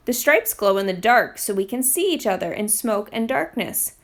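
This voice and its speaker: A woman's voice: medium pitch, lively intonation, moderate pace, lightly acted. A woman voicing a friendly, proud male fire captain in a children's storybook, welcoming and explaining things. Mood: reassuring, explaining.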